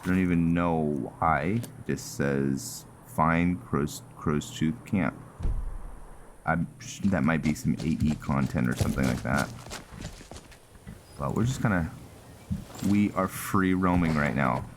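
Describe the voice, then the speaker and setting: A man's voice: a bass voice, slow pace, slight background noise. A man giving casual, conversational let's-play commentary, musing aloud as he plays. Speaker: gaming streamer, host.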